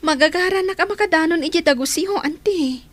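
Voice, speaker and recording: A woman's voice: very high voice, fast, fairly bright tone. A woman voicing an eager, affectionate young granddaughter with an Ilocano accent in a radio drama, with music under the voice.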